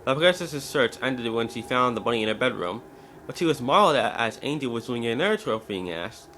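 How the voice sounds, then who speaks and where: A man's voice: mid-range voice, lively intonation, brisk, fairly bright tone, slight background noise. A man narrating a scripted story as an amateur dramatic reading, now and then stumbling over words.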